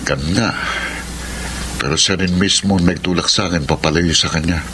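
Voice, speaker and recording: An actor playing an earnest, persuasive young suitor and former playboy in a dramatic radio romance. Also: male voice, deep voice, steady tone, moderate pace, background noise, lightly acted. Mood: earnest, confessing. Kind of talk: Tagalog radio drama acting. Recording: radio drama with loud background music. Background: loud music.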